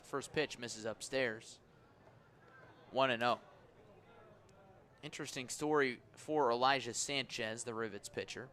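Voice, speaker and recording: A man calling baseball play-by-play for radio in a live sports commentary style. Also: pushed and bright. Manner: measured between pitches, raised and urgent on plays. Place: stadium press area on second deck.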